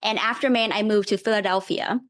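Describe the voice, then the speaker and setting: A woman's voice: medium pitch, steady tone, a little fast, a bit bright. A woman in her thirties from Vietnam with a Vietnamese accent and Vietnamese as her first language, explaining things step by step in a friendly, thoughtful way as a podcast guest. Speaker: guest real estate investor. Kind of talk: casual podcast interview.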